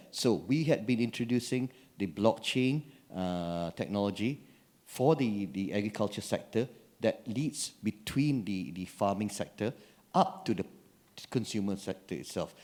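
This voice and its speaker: A man's voice: on the low side, darkish tone. A man speaking as a government panelist, often repeating words as he searches for them. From Malaysia. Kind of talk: panel discussion.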